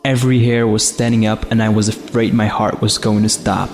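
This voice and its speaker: A man's voice: lowish voice, little pitch movement, a little fast. A man reading a scripted first-person horror story as its narrator. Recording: long reverb, faint music behind. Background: faint music.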